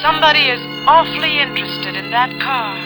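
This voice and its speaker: A woman's voice: high voice, lively intonation, a little fast. An actress playing an anxious, determined married woman, with the earnest, dramatic delivery of 1940s radio suspense. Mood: brooding. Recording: vintage radio recording with music.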